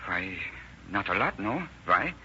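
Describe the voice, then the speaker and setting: An actor playing a nervous, evasive Swiss suspect in an old radio crime drama, halting and defensive in stiff, careful phrasing. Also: male voice, low-pitched, sing-song, pushed and bright, full character voice. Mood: guarded, evasive. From Switzerland. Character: nervous, evasive Swiss murder suspect, cracking under pressure. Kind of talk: 1930s-1940s radio crime drama acting. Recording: dull, noisy old radio recording.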